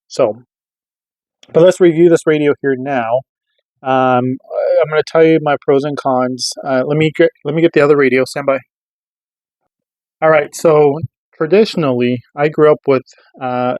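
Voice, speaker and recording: Man, American accent, mid-range voice, little pitch variation, casual reviewer, rambling informal explanations, dry close sound. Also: darkish tone, dry recording, rough recording. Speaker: radio reviewer. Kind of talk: casual product review monologue.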